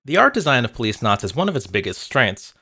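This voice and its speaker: A man's voice: medium pitch, big pitch swings, fast, bright, forceful tone, breathy edge. A man narrating a scripted video essay in a dry, wry manner. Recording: music bed behind voice. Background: background music with guitar.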